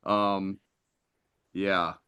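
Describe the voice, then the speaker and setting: Low-voiced teasing male co-host. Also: level tone, extremely slow, pushed and bright, clean tone. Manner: teasing, playful. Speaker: podcast co-host. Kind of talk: casual podcast chat.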